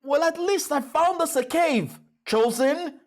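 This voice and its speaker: A man voicing a cocky, teasing cartoon boy adventurer with a husky voice and a boastful, taunting manner. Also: very high voice, measured pace. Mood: sheepish pride.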